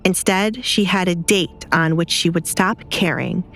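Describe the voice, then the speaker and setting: A woman's voice: on the low side, unhurried, a bit bright. A woman reading scripted dramatic fiction as an audiobook narrator, with light noise behind her.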